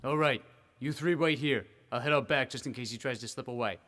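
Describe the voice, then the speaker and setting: A man's voice: mid-range voice, a little fast, a bit bright, close to an everyday voice. Man acting an eager, businesslike gang lieutenant. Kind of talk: old-time radio serial acting.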